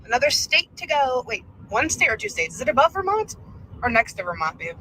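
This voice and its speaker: A woman narrating a travel vlog in a bubbly, giggly, excited way. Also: on the high side, brisk, noisy background. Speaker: vlogger.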